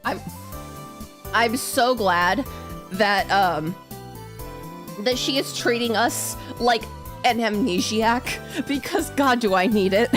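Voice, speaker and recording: A woman streamer chatting casually through a game, expressive and giggly, often swearing and interrupting herself. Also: fairly high pitch, takes it slowly, pauses often, over music. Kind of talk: live visual novel playthrough commentary, casual chat.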